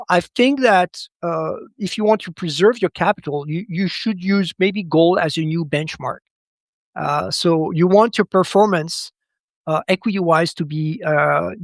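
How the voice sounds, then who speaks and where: A fairly high-voiced man who speaks as a gives his analysis as a podcast guest. He talks haltingly, doubling back on words as he looks for the right phrase. The sound is dry and close. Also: dry recording. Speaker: podcast guest, macro commentator. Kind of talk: conversational analysis.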